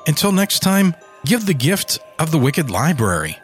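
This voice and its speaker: A man's voice: on the high side, sing-song, moderate pace. A man hosting a podcast, reading scripted outro announcements and plugs in an informal, upbeat manner. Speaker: podcast host and producer. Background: music.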